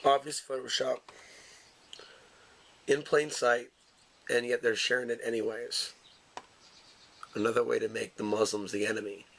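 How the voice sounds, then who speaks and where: A man giving unscripted video commentary with halting, hesitant phrasing. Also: medium pitch, flat delivery, gravelly, fairly bright tone, a little hiss or hum, low-quality recording.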